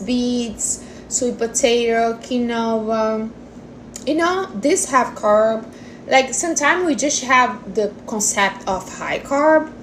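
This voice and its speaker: Slow-paced woman of forty chatting casually. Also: on the high side, fairly bright tone, slight background noise. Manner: informal, non-native English phrasing, addresses listeners as honey and guys. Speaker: livestream host answering viewer questions on diet. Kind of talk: casual chat.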